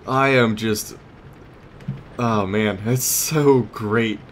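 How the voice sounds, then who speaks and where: A man's voice: fairly low pitch, very slow, slight background noise. A man giving casual gameplay commentary as a let's play host, thinking aloud in a conversational way.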